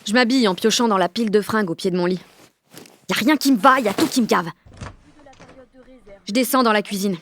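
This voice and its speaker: A woman's voice: medium pitch, brisk, long pauses, natural voice. An actress playing a sullen sixteen-year-old French village girl, narrating an audio drama in blunt, sardonic slang full of swearing. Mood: sullen, deadpan. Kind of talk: first-person audio fiction narration in teen slang.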